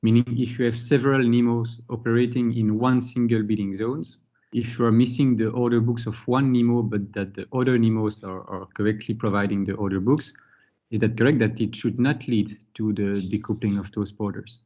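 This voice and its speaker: A man politely asks a precise clarifying question on a conference call. His tone is warm and mellow, and the audio sounds a little dull. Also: medium pitch, steady tone, brisk. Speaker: meeting participant.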